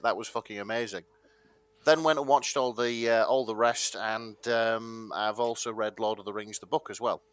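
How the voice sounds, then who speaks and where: A man with a northern English accent co-hosting a casual podcast, loud and jokey. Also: lowish voice, smooth, no room sound. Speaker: podcast co-host. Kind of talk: casual banter. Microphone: headset.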